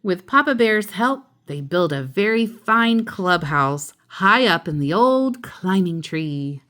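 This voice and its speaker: A woman reading a children's picture book aloud in an expressive, animated way, acting out the characters. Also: fairly low pitch, slow pace. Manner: animated, acts out character voices.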